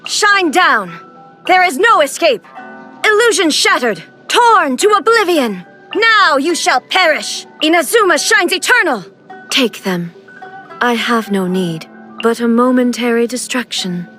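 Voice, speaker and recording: A woman voicing a stern, ageless thunder deity and warrior ruler in a video game, speaking formally in measured, solemn phrases. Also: high voice, lively intonation, sharp tone, soft background music, full character voice. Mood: fierce battle cries, then cold, commanding. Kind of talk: scripted video game character voice lines.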